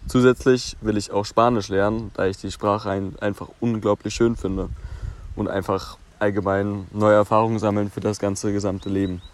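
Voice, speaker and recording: Ein achtzehnjähriger Mann aus Berlin, der sich ernst und abgelesen als Freiwilliger vorstellt. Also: male voice, on the low side, flat delivery, moderate pace. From Berlin, Germany. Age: teens. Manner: earnest, reads from a script. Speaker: young volunteer. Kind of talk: read-out self-introduction.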